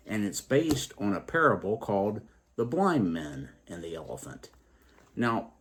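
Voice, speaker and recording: A man hosting a story program, telling tales and reflecting on their morals in a deliberate, emphatic way. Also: fairly low pitch. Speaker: storytelling show host.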